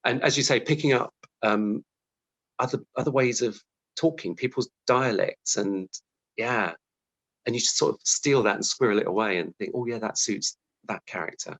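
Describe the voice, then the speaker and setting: A man's voice: medium pitch, normal speed, fairly bright tone, poor audio. A man giving a casual interview as a guest, thoughtful and hesitant, often restarting his sentences. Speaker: interview guest, television scriptwriter.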